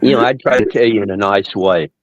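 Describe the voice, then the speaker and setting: Male chat guest, lower voice, gentle, muffled lo-fi call sound. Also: fast, no room sound. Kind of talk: casual chat on a live stream. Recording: dull lo-fi call audio.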